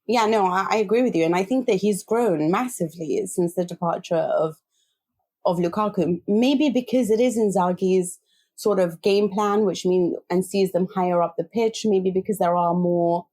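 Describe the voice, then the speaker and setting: A woman co-hosting a football podcast, with a mellow, slightly husky voice. She speaks at an ordinary middle pitch. She talks about players casually and weighs her options aloud as she goes. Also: normal speed. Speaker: podcast co-host. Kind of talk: casual football analysis chat.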